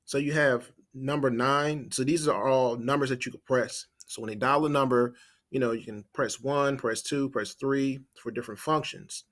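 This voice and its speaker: Man giving an informal software walkthrough. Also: mid-range voice, fairly even intonation, moderate pace. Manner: step-by-step, explanatory, thinking aloud while typing. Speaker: marketing trainer. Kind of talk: informal screen-share software tutorial.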